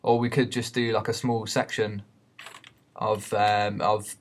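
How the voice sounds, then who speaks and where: Male tutor, lowish monotone voice, hesitant, talking through an unscripted screen-recorded lesson. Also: measured pace. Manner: hesitant, explanatory. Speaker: media teacher giving a software tutorial.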